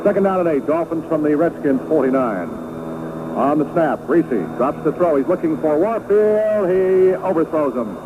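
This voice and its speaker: A male play-by-play announcer with a fairly high voice calls live football on vintage radio. He runs through the action in short, clipped phrases. The sound is thin and narrow, with clear noise over it. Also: expressive, normal speed.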